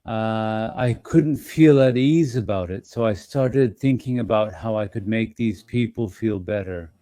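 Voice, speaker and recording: A man hosting an investing channel, talking in a gentle, reassuring and slightly apologetic way to his members, with small fillers as he thinks aloud. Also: on the low side, measured pace, no room sound. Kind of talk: casual live-stream talk to members.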